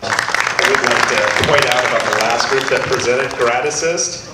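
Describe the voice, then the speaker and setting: A man's voice: medium pitch, unhurried. A man, an event organizer, making a friendly announcement to the audience. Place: university event hall. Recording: echoey hall, distant mic, crowd and noise.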